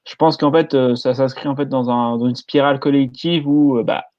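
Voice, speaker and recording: A man's voice: mid-range voice, fairly even intonation, normal speed, darkish tone, no room sound. Man on a muffled dry mic, lots of euh, casual podcast talk. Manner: hesitant, many fillers. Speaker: podcast panelist.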